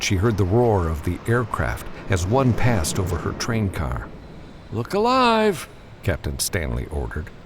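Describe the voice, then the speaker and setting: A man's voice: low voice, slight background noise. A man reading fiction aloud as an audiobook narrator, in a dramatic scripted style.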